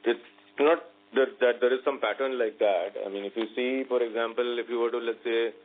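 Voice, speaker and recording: A man with an Indian accent answers as a company executive on an earnings call, explaining business in a halting, measured way. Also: medium pitch, steady tone, soft background music. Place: conference call. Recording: narrow phone line with faint noise and hum.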